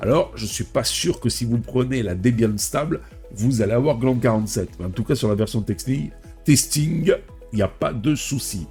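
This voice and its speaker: A man's voice: fairly low pitch, warm tone, husky. A man with a head cold hosting a tech video, reading a news script and adding chatty personal asides. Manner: reads then adds chatty personal remarks. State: stuffy with a cold.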